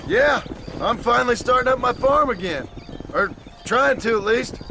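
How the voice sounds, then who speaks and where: Gruff frontier rancher, wry and folksy. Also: male voice, very high-pitched, lively intonation, moderate pace, sharp tone, a little hiss or hum, exaggerated voice. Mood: warm greeting. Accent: American frontier Western drawl. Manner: folksy, plain-spoken. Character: weathered ex-outlaw rancher father, gruff, wry, devoted. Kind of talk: video game cutscene acting.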